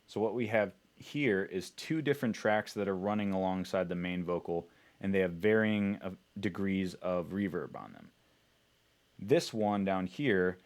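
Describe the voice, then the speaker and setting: A man talking casually as he explains his music production work in an informal, instructional way. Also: low voice. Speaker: music producer presenting a tutorial.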